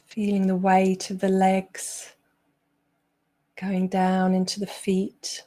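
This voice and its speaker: A woman leads a guided meditation at a slow pace. Her voice sits at a middle pitch. She speaks gently, with long pauses between her instructions. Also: monotone. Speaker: meditation teacher.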